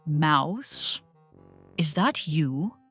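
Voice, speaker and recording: A woman's voice: low voice, animated, very slow, a bit bright, slightly rough, faint music behind, heavily acted. A woman voicing a grumpy, fussy male bear with formal, indignant phrasing in a storybook reading. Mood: weary. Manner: indignant, melodramatic, formal wording.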